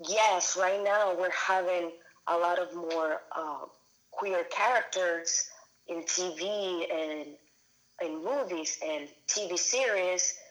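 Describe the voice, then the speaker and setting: A woman in her thirties from Venezuela, a filmmaker answering interview questions. Her voice sits a little low, and she talks casually, with frequent fillers and restarts as she gathers her thoughts. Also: slow, rough recording. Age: 30s. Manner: hesitant, many restarts and fillers. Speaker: guest filmmaker.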